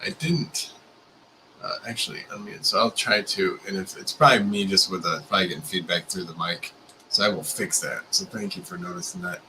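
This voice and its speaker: A man hosting a casual video call interview, playful and joking, quick to laugh. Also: deep voice, very animated, creaky, a little hiss or hum, rough recording. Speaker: host. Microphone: video call mic.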